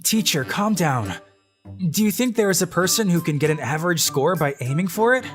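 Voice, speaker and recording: A dry, secretly brilliant schoolboy, voiced by a man, talking through his thoughts in a flat, wry monologue. Music plays clearly underneath. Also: high-pitched, brisk. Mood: deadpan. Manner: deadpan inner monologue. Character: dry, secretly genius teenage boy student.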